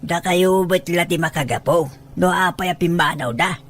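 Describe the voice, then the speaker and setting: A woman's voice: lowish voice, normal speed, a little hiss or hum, close to an everyday voice. A woman with an Ilocano Filipino accent playing a reproachful family elder in an amateur audio drama.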